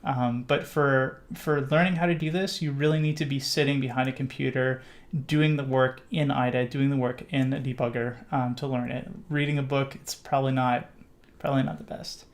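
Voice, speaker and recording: A man's voice: medium pitch, steady tone, normal speed. A middle-aged Canadian man hosting a live stream, answering viewer questions in a rambling casual way with lots of fillers. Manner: rambling with many fillers and restarts. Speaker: livestream host.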